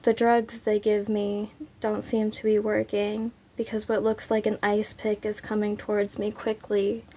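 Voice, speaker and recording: A woman with a raspy, under-the-weather voice reads her own poetry at a slow, measured pace. Her tone is gravelly and stays flat. She fills the gaps between poems with easygoing chat. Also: mid-range voice. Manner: slow, even, unhurried delivery with frequent ums. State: sick, raspy throat. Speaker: poetry channel host.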